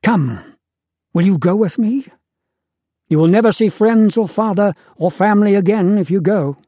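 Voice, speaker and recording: A man's voice: high-pitched, normal speed, dark tone, dry recording, voice pushed up. A man voicing a proud, defiant male angel, speaking in a lofty, contemptuous, declamatory way. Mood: defiant, scornful. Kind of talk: audiobook character reading.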